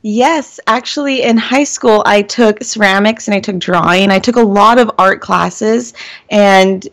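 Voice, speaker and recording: A woman's voice: mid-range voice, unhurried, creaky, a bit bright. A young woman as an interview guest, speaking sincerely and a bit hesitantly with many fillers. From Filipino-Spanish heritage. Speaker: guest, amiibo artist. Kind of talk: casual interview.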